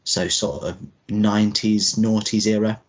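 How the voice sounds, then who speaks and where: A man's voice: fairly low pitch, flat delivery, unhurried. A man in his thirties co-hosting a podcast, chatting casually in a loose, rambling way. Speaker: podcast co-host. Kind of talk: casual chat. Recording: dull lo-fi podcast audio.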